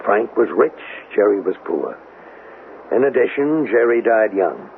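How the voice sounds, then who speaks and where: Gravelly, skeptical American police lieutenant. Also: male voice, fairly low pitch, very animated, a little slow, exaggerated voice. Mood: probing. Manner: probing, matter-of-fact. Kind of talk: 1970s American radio drama acting. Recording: narrow, hissy old radio recording.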